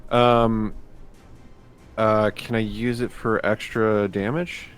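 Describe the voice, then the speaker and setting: A man's voice: on the low side, flat delivery, takes it slowly, smooth. A man playing a tabletop game, chatting casually and thinking aloud in a laid-back, hesitant way. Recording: faint music behind.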